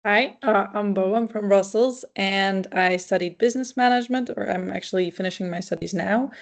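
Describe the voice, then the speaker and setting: A woman's voice: mid-range voice, fairly quick. A woman briefly introducing herself as a business student on a video call, a little hesitant.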